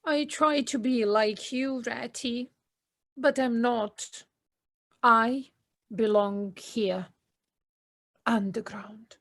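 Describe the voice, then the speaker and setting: Timid small mole, female actor: gloomy, hesitant, high and slow, for audio drama. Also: steady tone, fairly bright tone, no echo, clean studio sound, full character voice. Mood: sad. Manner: hesitant, meek. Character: timid, gloomy, self-doubting little mole. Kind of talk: amateur audio play acting.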